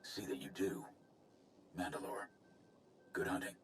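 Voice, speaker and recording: Very deep, gruff male commander. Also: measured pace, fairly bright tone, whispered. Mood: grim, disapproving. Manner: grave, blunt. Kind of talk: scripted game cutscene acting.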